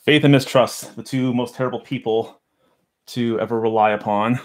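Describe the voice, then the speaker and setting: A man's voice: medium pitch, fairly even intonation, moderate pace. A man in his thirties chatting casually in a small home office, rambling and muttering as he works on a computer case. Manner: rambling, self-deprecating, mutters while handling parts. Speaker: streamer unboxing a computer. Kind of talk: casual live chat while working. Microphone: boom mic near desk.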